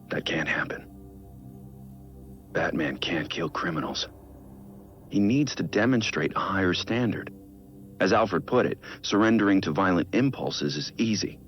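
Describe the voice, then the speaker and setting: An actor playing a weary, brooding male vigilante billionaire in a video game, speaking an introspective, measured dramatic monologue as a private audio log. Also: low-pitched, highs cut off. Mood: earnest, determined.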